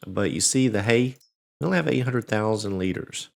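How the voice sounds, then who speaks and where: A man hosting a farming game playthrough, congested and a bit cracking, talking in a relaxed, rambling way with many fillers and restarts. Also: fairly low pitch, normal speed, gravelly. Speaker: let's play host. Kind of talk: casual gameplay commentary.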